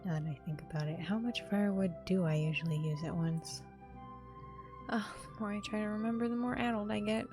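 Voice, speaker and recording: A woman game streamer with an informal, expressive manner, commenting casually and reading a visual novel's narration aloud. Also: medium pitch. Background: game music.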